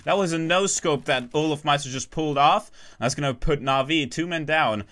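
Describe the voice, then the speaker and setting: A man calls a competitive shooter match alone, tumbling from play-by-play into asides to his viewers. His voice is bright and forceful, and he often restarts his sentences mid-call. He keeps a casual, joking touch even while tracking the action. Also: on the high side. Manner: rapid, chatty, self-interrupting, jokes with chat. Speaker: esports caster. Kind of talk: solo live game commentary.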